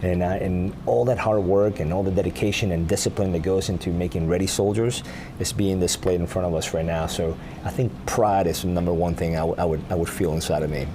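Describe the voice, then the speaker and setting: A very deep-voiced man from Peru speaks as an army sergeant major in a live broadcast interview. He talks earnestly and in measured steps, often restarting his phrases. Clear background noise from an outdoor event runs under his voice. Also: fairly even intonation.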